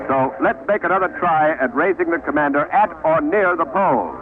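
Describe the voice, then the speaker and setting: An actor playing an earnest, formal newsman in an old-time radio docudrama, reporting in measured phrases from a polar expedition ship. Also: on the high side, expressive, lightly acted. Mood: expectant, calling. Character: earnest male radio newsman hosting from a polar ship's radio shack. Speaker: anchor reporter. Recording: noisy, tinny vintage radio recording.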